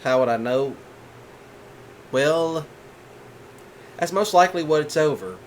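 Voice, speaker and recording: A man voicing a calm, patient rancher boyfriend in a soft-spoken ASMR roleplay, folksy and reassuring. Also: a little high, measured pace, a little hiss or hum, pitched above the performer's own voice.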